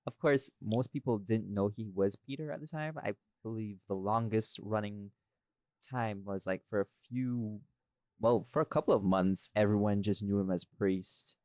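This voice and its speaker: A man's voice: lowish voice, moderate pace, darkish tone, dry recording. A man chatting casually and expressively about his own audio work on a behind-the-scenes podcast. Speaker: audio drama creator, podcast host.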